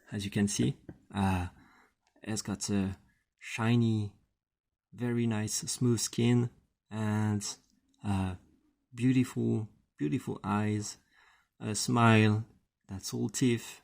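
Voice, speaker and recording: Husky, gravelly guy unboxing toys. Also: fairly low pitch, a little mellow. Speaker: toy unboxing video host. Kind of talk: casual unboxing commentary.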